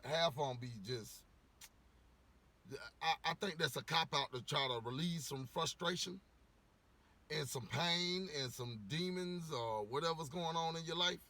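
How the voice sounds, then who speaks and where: A man ranting casually to camera, a little hoarse from losing his voice, rambling with lots of "you know" and swearing bluntly. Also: a little high, a little slow, bright, forceful tone. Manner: rambling, repeats words, frequent "you know". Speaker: vlogger giving opinions.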